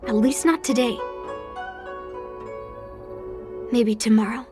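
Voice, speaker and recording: A woman voicing a stubborn, resolute young swordswoman in a video game, speaking in an earnest, deliberate way. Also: fairly high pitch, steady tone, over music, natural voice. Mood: grudging, softening. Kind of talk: dramatic video game voice acting.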